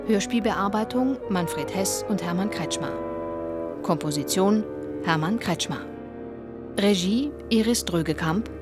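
Loud instrumental music plays as a woman announces a radio drama. She has a slightly low voice and reads titles and credits as a formal script.

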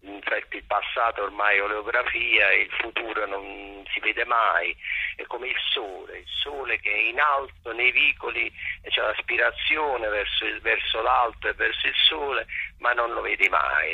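A raspy-voiced man explaining his work reflectively as a theatre director in an interview, halting and repeating phrases as he searches for words. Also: lowish voice, bright, forceful tone, no room sound. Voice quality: gravelly, raspy. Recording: dull lo-fi radio or telephone sound.

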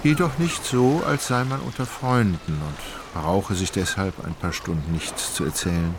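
A male narrator reads literary prose for a radio drama. His voice is on the low side, and ambient sound is clearly heard behind it. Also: a little slow, noisy background.

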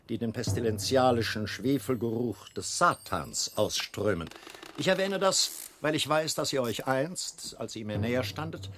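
Male actor, menacing church inquisitor, radio play, medium pitch, measured, formal, pointed, noisy background. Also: natural voice. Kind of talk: German radio play acting.

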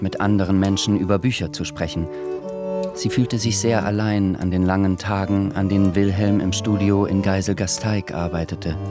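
A man narrating a radio drama in a calm, measured storytelling voice. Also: fairly low pitch, natural voice. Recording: music playing underneath.

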